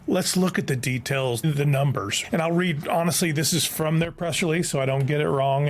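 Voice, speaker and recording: A man with a mid-pitched voice presents an explainer for an online video. He is plain-spoken and slips informal asides into his commentary. There is some faint ambient noise behind him. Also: creaky. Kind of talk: explainer commentary, partly reading aloud.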